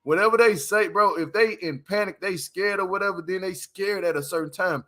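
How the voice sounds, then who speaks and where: A man with a high, forceful voice hosts a casual livestream. He gives his opinions emphatically, leaning on slang and repeating his points to drive them home. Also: fairly quick. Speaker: livestream host.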